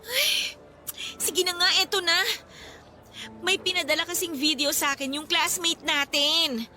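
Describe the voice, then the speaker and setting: Worried young student friend, squeaky-high and bright, hesitant and flustered, radio drama. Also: female voice, unhurried, soft background music, heavily acted. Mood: anxious, hesitant. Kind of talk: Filipino radio drama acting.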